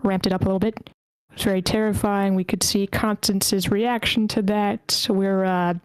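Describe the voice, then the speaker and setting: A woman's voice: medium pitch, little pitch movement, normal speed, clean tone, dry recording, rough recording. A woman hosting an online table read, giving informal, self-deprecating feedback and swearing casually. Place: online group meeting.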